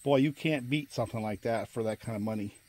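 A man's voice: medium pitch, quick pace, warm tone. A man giving casual, plainspoken commentary for an outdoor fishing vlog, in a folksy way. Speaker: fishing vlogger. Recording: outdoor recording with clear background noise.

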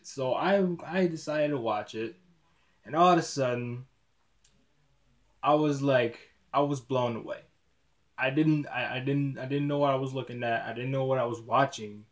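A young man in his early twenties talks straight to his webcam in a small dim room. His voice sits at a middling pitch. He rambles in easy slang, tripping over and repeating his words as he goes. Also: normal speed. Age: 20s. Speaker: YouTuber. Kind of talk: casual vlog talk to camera.

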